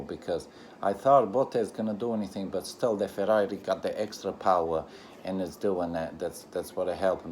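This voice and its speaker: A man with a low-pitched, soft-edged voice and non-native English gives casual live race commentary. His phrasing is halting and repetitive, with loose grammar. There is faint noise behind him. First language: not English. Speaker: reaction video host.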